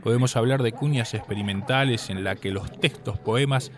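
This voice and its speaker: A man with a Uruguayan Spanish accent reads scripted radio narration as a segment host, in a measured, literate, explanatory way. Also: medium pitch.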